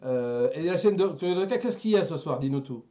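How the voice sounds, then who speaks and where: A man's voice: a little high, animated, brisk, dark tone. A man hosting a casual, bantering geek culture show, often repeating himself and restarting phrases in a jokey way. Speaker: host of an anime and geek culture live show.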